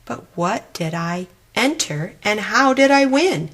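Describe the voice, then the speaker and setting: A woman's voice: mid-range voice, expressive, takes it slowly, fairly bright tone, full character voice. A woman voicing a small, timid, earnest child in a children's storybook. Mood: surprised.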